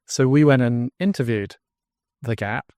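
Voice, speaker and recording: English man with a smooth voice. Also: a little slow, warm tone, no room sound, studio quality. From England. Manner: earnest storytelling pitch. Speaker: drinks brand founder, guest. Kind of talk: podcast interview.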